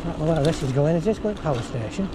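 A man's voice: a little high, animated, racing. A man giving casual, rambling running commentary as he cycles outdoors, thinking aloud and reading out signs. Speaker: vlogger on an electric bike ride. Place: outdoors on roads, riding a bicycle. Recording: outdoor action-camera audio with clear wind and traffic noise.

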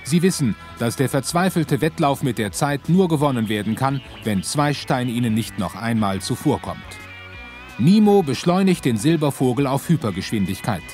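A man's voice: medium pitch, expressive, moderate pace, a little mellow, faint music behind, natural voice. A man playing the dramatic storyteller narrator of a children's space adventure radio play. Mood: suspenseful.

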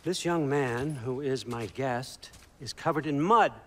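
A man's voice: mid-range voice, a little slow, lightly acted. An actor playing a genial, sly old king of the gods in a video game, wry and casually in command. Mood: genial, teasing. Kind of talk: video game character acting.

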